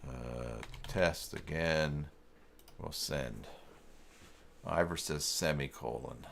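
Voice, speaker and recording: Low-voiced man thinking aloud. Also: gravelly, a bit bright, a little hiss or hum. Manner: halting, muttering to himself while working. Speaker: live stream host giving web tutorials. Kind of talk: casual live tech walkthrough, thinking aloud.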